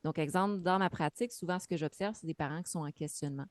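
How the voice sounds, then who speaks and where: A woman's voice: on the low side, fast, no room sound. A woman speaking as a mental health practitioner on a webinar panel, explaining things with many fillers over a video call. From Quebec. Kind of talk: webinar talk.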